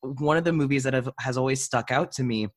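A man's voice: mid-range voice, quick pace. A man hosting a podcast in a casual, informal chat, often dropping "like" into sentences. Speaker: podcast host.

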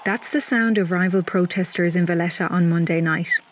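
A woman hosting a news podcast, reading scripted narration in a measured, clear way. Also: on the low side, a faint crowd, a little hiss or hum. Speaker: podcast host.

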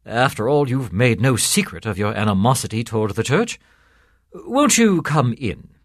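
Man playing a genial priest, very animated pitch. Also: normal speed, dry recording, clean studio sound. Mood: cheerful, teasing. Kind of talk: storytelling character voice.

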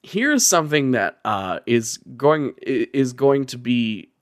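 A man's voice: mid-range voice, sing-song, takes it slowly, no echo, studio quality. A man hosting a casual podcast chat in a wry, joking, sweary way. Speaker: podcast host.

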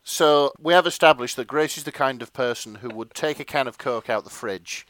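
A man with a theatrical, expressive delivery, co-hosting and narrating a comic dramatic reading with casual chat. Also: mid-range voice, moderate pace, no echo. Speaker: narrator and co-host.

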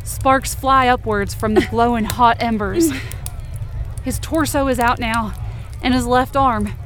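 A woman acts out a determined, desperate young female rescuer in a supernatural fiction podcast. Her voice sits somewhat high. She narrates in the present tense with intense, emotive urgency. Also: unhurried, a bit bright, slight background noise. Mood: focused, strained. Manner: intense, emotive, swearing under strain. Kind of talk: dramatic first-person present-tense audio drama narration.

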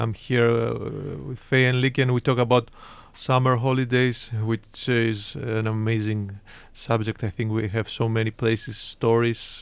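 A man's voice: mid-range voice, vocal fry, clean tone, dry recording. A young man hosting a student radio show in English, which is not his first language, talking in a hesitant way with many fillers. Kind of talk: casual chat.

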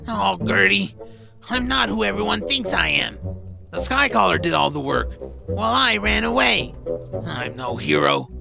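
A man playing an anxious, complaining troll in an audio drama, with a gravelly, fretful voice. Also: sits high, huge pitch swings, normal speed, exaggerated voice. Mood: dejected. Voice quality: gravelly, creaky. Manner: fretful, grumbling. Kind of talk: audio drama character acting. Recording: music behind the voice.

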